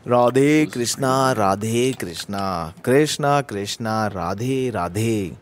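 Man acting as slow-spoken devout wandering holy man. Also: lowish voice, animated, a little hiss or hum. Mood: contented, blessing. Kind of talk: radio drama acting.

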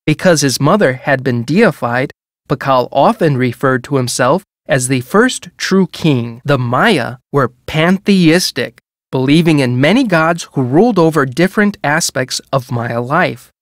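A woman reading scripted educational museum narration for a video game in a measured, formal, explanatory way. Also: very deep voice, expressive, no echo, studio quality.

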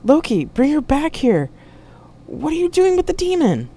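Anguished male soldier-captain played by a female narrator, raised pitch, sci-fi audiobook drama. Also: a little slow, a little mellow, husky. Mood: desperate, yelling. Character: grief-stricken young male starship captain, anguished soldier.

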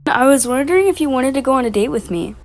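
A woman's voice: high voice, expressive, fast. A young woman voicing a confident, popular teenage girl who talks sweetly and a little bossily.

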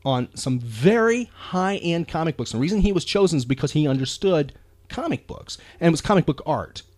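A man hosting a casual talk show, speaking earnestly and haltingly, often repeating words as he goes. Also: fairly high pitch, animated, darkish tone, no echo. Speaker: podcast host.

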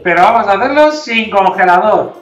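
A middle-aged man with a Spain Spanish accent teaches a science experiment, giving clear instructions to children. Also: high-pitched, lively intonation, brisk, fairly bright tone. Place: home kitchen, indoors. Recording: roomy, distant, dull kitchen audio. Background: background music.

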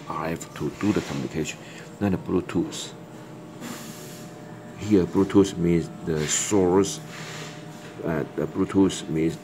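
A man giving a casual, halting product demonstration indoors, repeating himself as he explains. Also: deep voice. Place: indoors at a desk with electronics. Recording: clear background room noise.

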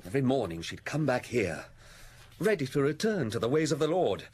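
An actor in a radio drama playing a solemn, reluctant village priest with a husky voice and a terse, evasive manner. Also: male voice, medium pitch, very animated, brisk, darkish tone. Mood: guarded. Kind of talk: BBC radio drama acting.

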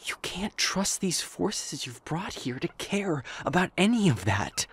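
A man playing an impassioned, defiant strategist in an audio drama, loud, urgent and confrontational. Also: high voice, very animated, measured pace, slightly rough, heavily acted. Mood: urgent, indignant. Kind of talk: dramatic audio roleplay acting. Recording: echoey reverb with noisy ambience.

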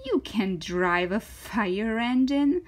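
A woman's voice: a little high, sing-song, slow pace, pitched above the performer's own voice. A woman voicing a nagging little inner voice in a children's story, needling and belittling. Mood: mocking, smug.

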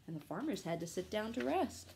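A young woman reading a children's storybook aloud at home in an expressive, playful way. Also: medium pitch, a little fast, vocal fry, slight background noise. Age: young adult. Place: small living room at home.

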